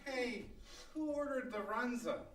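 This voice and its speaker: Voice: mid-range voice, measured pace, natural voice. An actor playing a grumpy, bossy reenactor in a radio comedy, with a snappish, dry delivery. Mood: matter-of-fact. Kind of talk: old-time radio comedy acting before a live audience. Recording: echoey stage, distant mic, loud music.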